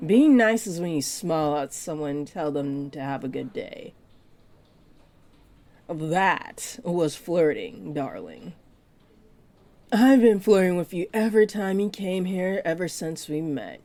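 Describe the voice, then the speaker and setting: A woman playing a flirty, possessive part-dragon librarian in an intimate roleplay audio drama, speaking to the listener in a teasing, deliberate way. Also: lowish voice, lively intonation, warm tone. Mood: tender, flirty. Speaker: voice actor.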